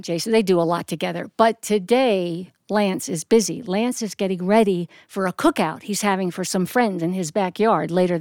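Woman, live storyteller, expressive, deliberate phrasing, dramatic pauses, dry sound. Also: mid-range voice, no room sound. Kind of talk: polished live storytelling to an audience.

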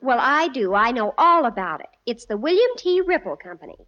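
An actress playing a dramatic, excitable young woman, the family's college-age daughter, in a 1950s radio sitcom, from an old radio broadcast. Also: a little high, fairly quick, muffled recording. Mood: breezy. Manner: breathless, dramatic, giggly.

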